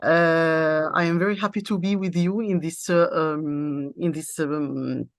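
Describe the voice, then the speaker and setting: Slow, halting woman speaker. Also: low voice, fairly even intonation, no echo, studio quality. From Egypt. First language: Arabic. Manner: halting, searches for words with many pauses. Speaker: keynote speaker. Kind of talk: conference presentation.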